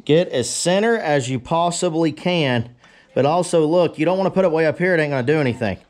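A man's voice: fairly high pitch, animated, fairly quick, darkish tone. A man giving a casual, unscripted how-to demonstration in a folksy, plain-spoken way, talking to viewers as a blacksmith at work. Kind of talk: casual unscripted workshop tutorial talk. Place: cluttered forge workshop. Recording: noisy workshop with power tools and clatter.

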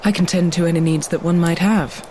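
A man acts out a proud recruit in a fantasy audio drama, defensive and stiffly formal. His voice sits fairly high for a man, over faint noise. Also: steady tone, fairly quick, a little mellow, lightly acted. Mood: polite, guarded. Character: proud, defensive male recruit from scorned people.

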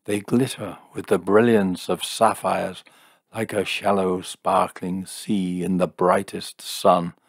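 A man's voice: low voice, little pitch movement, takes it slowly, creaky, dry recording, studio quality, full character voice. A man voicing a solemn, mystical male seer in a story reading, making portentous pronouncements.

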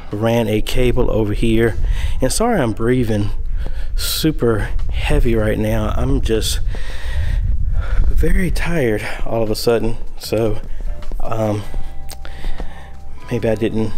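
Guy in his 30s filming himself wiring cameras in a church, laid back, talks thru each step, phone at arm's length. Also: lowish voice. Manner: relaxed, explanatory, thinking aloud while working. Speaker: church video system installer. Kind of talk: casual how-to vlog. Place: church sanctuary, large room, indoors. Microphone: handheld phone camera, arm's length.

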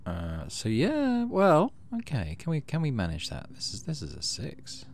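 A man narrating a game playthrough casually, reading text aloud and thinking out loud in an easygoing way. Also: medium pitch, huge pitch swings, measured pace. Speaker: gaming video host.

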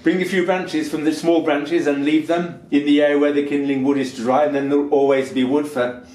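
A man's voice: fairly high pitch, little pitch movement, fairly quick, darkish tone. Patient man explaining step by step. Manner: patient, step-by-step, frequent ums. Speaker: holiday rental host. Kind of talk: instructional tutorial. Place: stone-walled home kitchen, indoors.

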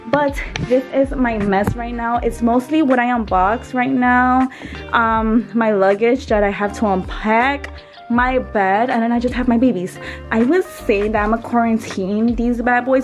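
Young woman, higher voice, casual vlog chat, slangy, self-deprecating, background music, bedroom camera recording. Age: 20s. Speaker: vlogger. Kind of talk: casual chatty vlog narration. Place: small apartment bedroom, indoors.

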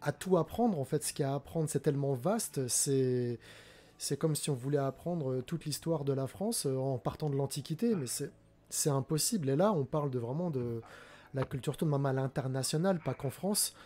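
A man's voice: medium pitch, normal speed, warm tone. A man in his forties hosting a casual live stream, talking with a headset mic at home, hesitant with many fillers and restarts. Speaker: streamer host. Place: home room indoors.

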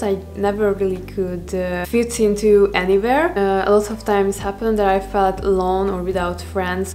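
A woman's voice: mid-range voice, a little mellow. A Hungarian-accented woman of twenty-two, a native Hungarian speaker, talking earnestly to camera in a casual vlog from her living room, with many fillers and restarts. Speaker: YouTuber. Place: indoor living room with plants and shelves.

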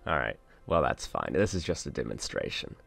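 A man hosting a casual software tutorial, talking through each step in an informal, instructional way. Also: extremely low pitch, sing-song, brisk, gravelly, faint music behind. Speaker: tutorial host. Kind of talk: casual software screen-share tutorial narration. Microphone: close mic, voice-over on a screen recording.

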